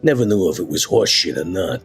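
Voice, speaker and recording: A man voicing a gruff, laid-back male vampire in a tabletop game, gravelly and husky, with slangy, folksy, hesitant phrasing. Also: deep voice, lively intonation, fast, soft background music. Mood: friendly, candid.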